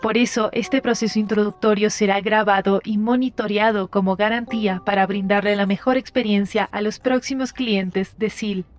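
A woman voicing a polite, impersonal automated device assistant, giving scripted instructions in a formal, measured way for an audio drama. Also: medium pitch, steady tone, lightly acted. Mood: neutral, courteous.

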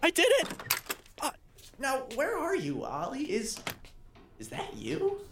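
A woman's voice: high voice, wildly swooping pitch, slow, noisy background, exaggerated voice. A woman playing a timid but eager twelve-year-old boy in an audio drama, speaking hesitantly with frequent stammers. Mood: excited. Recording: roomy, echoing sound.

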